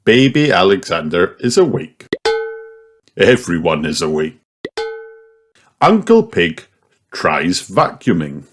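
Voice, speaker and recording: A man reading a children's story aloud in an animated, expressive storytelling manner. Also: deep voice, slow pace, long pauses. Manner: animated, puts on character voices. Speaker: audiobook reader. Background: music.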